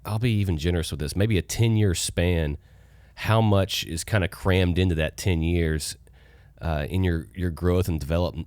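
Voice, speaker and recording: A man co-hosting a casual conversational podcast, hoarse from being under the weather, easygoing and agreeable. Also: sits low, normal speed, darkish tone, no echo. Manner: easygoing, agreeable, frequent affirmations. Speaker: podcast co-host.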